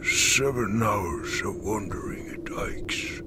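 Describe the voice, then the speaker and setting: A man voicing a frail, ancient blind bird hermit who speaks in creaky, breathy whispers. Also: lowish voice, slow pace. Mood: warning.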